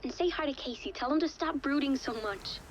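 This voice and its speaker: A woman's voice: high voice, animated, fairly quick. A woman playing a cheeky, affectionate young daughter on a phone call in a video game, casual and teasing. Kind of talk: naturalistic video game acting. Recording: dull phone-call sound, faint noise.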